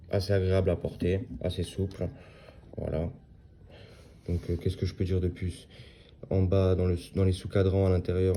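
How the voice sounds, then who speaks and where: A man in his thirties with a low, dark, gravelly voice talks through a watch unboxing in a casual, halting way, full of small fillers and restarts. A faint hiss of noise sits under the recording. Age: 30s. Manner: hesitant, lots of fillers and restarts. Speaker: watch reviewer.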